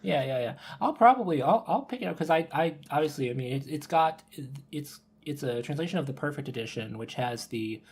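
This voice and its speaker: A man's voice: a little high, lively intonation, brisk, hardly any pauses, husky. A man hosting a podcast in a casual chat, feeling his way through sentences with tentative phrasing. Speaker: podcast host.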